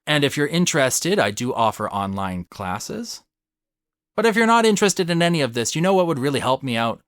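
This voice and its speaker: A man's voice: on the high side, sing-song, brisk, fairly bright tone, no room sound, clean studio sound. A man narrating a scripted video essay to viewers in a formal, articulate and emphatic manner. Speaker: video essayist and theatre artist.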